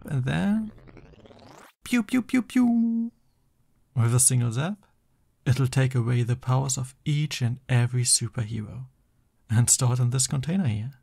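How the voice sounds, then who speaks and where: A man acts out a boastful young male supervillain who is also a bashful, loving boyfriend in an ASMR roleplay. His mid-pitched voice has a gravelly creak and takes its time, moving between grand theatrics and flustered affection. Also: lively intonation, a little slow, pauses often, a little mellow. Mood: eager, boastful.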